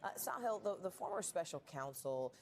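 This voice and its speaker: Low-voiced woman news anchor. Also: expressive, noisy background. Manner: measured, polished delivery.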